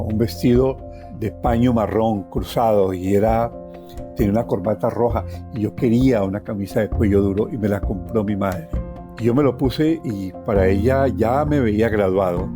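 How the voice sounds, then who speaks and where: A man with a Colombian accent recounts his own life in an expressive, reflective way, repeating phrases for emphasis. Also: on the low side. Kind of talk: personal oral storytelling.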